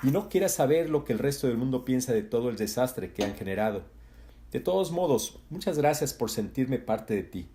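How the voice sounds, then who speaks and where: A man voicing a charming, refined mercenary who speaks smoothly and diplomatically. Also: medium pitch, animated, normal speed, slight background noise, natural voice. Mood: lecturing. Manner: smooth, diplomatic, gently lecturing.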